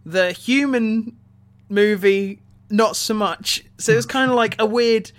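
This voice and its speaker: A man with a distinctly high voice speaks as a podcast co-host. He reviews films in casual conversation, reasoning analytically. His delivery is halting, as he searches for the way to put each point.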